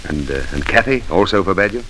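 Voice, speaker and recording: Sardonic gentleman with a grudge, deep-ish and expressive, coolly controlled, vintage radio play. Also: fast, poor audio. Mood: probing. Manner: mocking, controlled, formal. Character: bitter, sardonic, newly rich gentleman, former stable boy. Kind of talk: 1940s radio drama acting. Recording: old radio recording with steady hiss.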